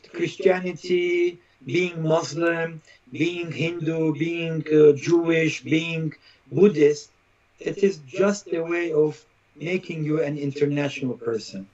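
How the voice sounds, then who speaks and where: A man with a fairly high voice, heard through muffled lo-fi live stream audio. He talks as an opinionated interview guest, stating things emphatically and stacking his points in repeated lists. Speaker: interview guest, social critic.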